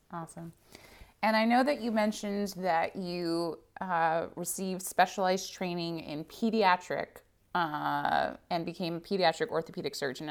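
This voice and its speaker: A woman's voice: on the low side, vocal fry. A woman interviewing a guest, asking questions in an upbeat, effusive way.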